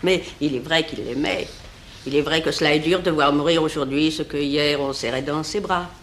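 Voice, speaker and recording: An actress playing a worldly, sorrowful older mistress of a Roman emperor, grave and theatrical, in a mid-century French radio play. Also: female voice, low voice, steady tone, moderate pace, close to an everyday voice. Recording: old radio recording, faint noise.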